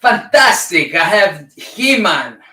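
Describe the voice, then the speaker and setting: A man's voice: sits high, very animated, takes it slowly, sharp tone. A man with a light Italian accent bantering on a livestream, teasing with short playful quips. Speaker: band member and co-host. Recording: roomy sound from a distant mic.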